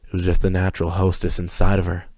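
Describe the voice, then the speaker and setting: A man's voice: sits low, monotone, quick pace, darkish tone, no room sound, studio quality. A man narrating prose fiction as a dramatic reading.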